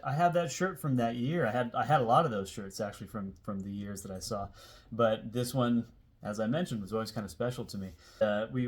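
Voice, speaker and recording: An American man in his forties tells a personal story in a casual, conversational way. His delivery is informal and rambling, as if talking to viewers he knows. He puts extra emphasis on key words as he goes. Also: medium pitch. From Seattle area, United States. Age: 40s. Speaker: host telling a personal story.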